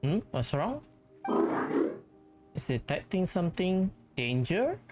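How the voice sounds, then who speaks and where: A woman's voice: on the low side, very animated, takes it slowly. A woman giving casual let's-play reactions as she follows a video game. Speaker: gameplay commentator. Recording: game music behind voice. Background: video game music and sounds.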